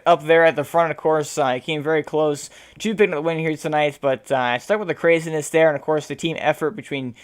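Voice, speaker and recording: A man with a hoarse, fading voice interviewing sim racing drivers after a race, rambling with many fillers. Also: medium pitch. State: losing his voice.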